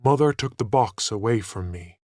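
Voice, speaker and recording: A man reading a story aloud in an expressive, deliberate narrating style. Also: lowish voice, no room sound, clean studio sound. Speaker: audiobook narrator.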